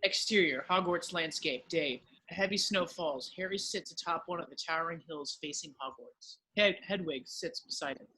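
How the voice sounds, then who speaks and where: Male narrator, scripted stage directions, higher-pitched, bright, husky, table-read delivery. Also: normal speed, hardly any pauses, rough recording.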